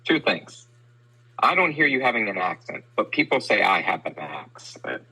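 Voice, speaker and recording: Very bright, slightly husky American man. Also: fairly low pitch, very animated, moderate pace, rough recording. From St. Louis, Midwestern United States. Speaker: podcast guest. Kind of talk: casual chat.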